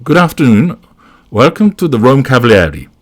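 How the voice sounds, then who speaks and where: A man's voice: fairly low pitch, sing-song, measured pace, creaky, darkish tone, pitched above the performer's own voice, heavily acted. Guy doing a young hotel receptionist lady for an audiobook, polite and businesslike, voice lifted. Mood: polite, welcoming. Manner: courteous, professional.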